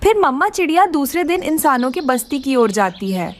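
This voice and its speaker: A woman's voice: high-pitched, sing-song, moderate pace. A woman reading scripted narration for a children's cartoon story.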